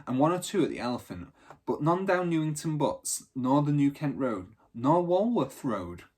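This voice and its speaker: A man reads the part of a bossy young girl in a storybook gang. The voice is husky and emphatic, eager to have her say. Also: fairly high pitch, sing-song, normal speed, a little mellow, pitched above the performer's own voice.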